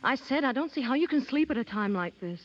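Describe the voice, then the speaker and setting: An actress playing an indignant, sharp-tongued young woman with a sceptical edge, in 1930s radio drama style. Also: high-pitched, expressive, quick pace. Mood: uneasy, cross. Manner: tart, sceptical. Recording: muffled 1930s radio broadcast.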